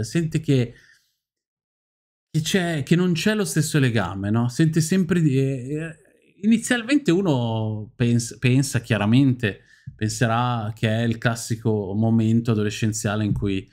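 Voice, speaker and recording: Man, forties, lowish voice, casual streamed film review, halting delivery, emphatic repetition. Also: a little mellow. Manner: halting, repeats phrases for emphasis. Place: indoor room at home.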